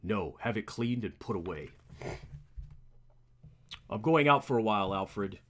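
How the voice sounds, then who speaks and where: Man as a young male comic-book hero, deep pitched, brooding, terse, serious, wealthy. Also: expressive, unhurried, fairly bright tone. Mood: brooding, resolute.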